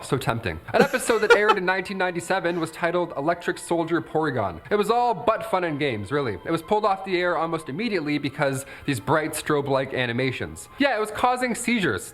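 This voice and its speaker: An American man in his late twenties hosting a countdown video, mixing scripted commentary with joking, conversational asides. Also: fairly high pitch. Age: late 20s. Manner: jokey, animated, conversational. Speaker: stand-up comic.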